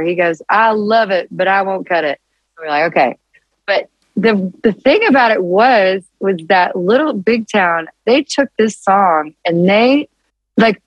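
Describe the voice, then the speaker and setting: A woman songwriter with a deeper, low-register voice, chatting casually as an interview guest and telling animated stories with many restarts. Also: dry recording, muffled recording. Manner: animated storytelling with frequent restarts and laughs.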